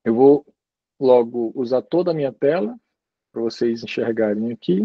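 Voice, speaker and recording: A man giving an informal university lecture over a video call, chatty and relaxed, often ending phrases with little checking tags. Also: mid-range voice, moderate pace, no echo, highs cut off. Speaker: guest lecturer.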